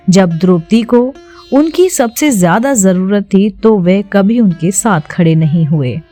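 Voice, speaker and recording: A woman's voice: mid-range voice, warm tone. A woman narrator telling a scripted mythological story in a storytelling style. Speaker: narrator of a devotional story channel. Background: plucked-string instrumental music.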